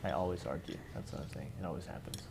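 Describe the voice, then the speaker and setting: A man's voice: low voice, very fast, gravelly. A man co-hosting a live art stream, making dry, joking asides and trailing into a mumble. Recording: background noise.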